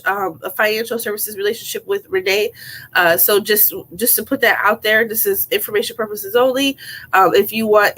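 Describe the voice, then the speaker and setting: A woman's voice: medium pitch, fairly bright tone, low-quality recording. A woman attorney co-hosting a live online show, congested from a cold, casual and hesitant, with many fillers. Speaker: attorney co-host.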